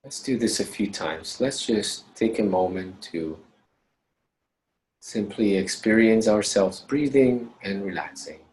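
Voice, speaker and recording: Low, slow-paced man guiding meditation. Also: low-quality recording. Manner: calm, unhurried, with short measured phrases and long pauses. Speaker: meditation instructor.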